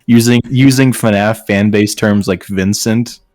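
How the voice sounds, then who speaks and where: A man's voice: on the low side, fairly even intonation, a little slow, darkish tone, dry recording, clean studio sound. A man reading fan fiction aloud in a mock dramatic style, with amused, incredulous casual banter.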